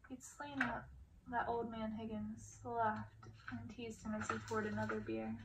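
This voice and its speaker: Distant, higher-voiced woman reading a fairy tale. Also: expressive, measured pace. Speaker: reader of a story. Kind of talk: audiobook-style reading of a fairy-tale novel. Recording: distant mic with clear background noise.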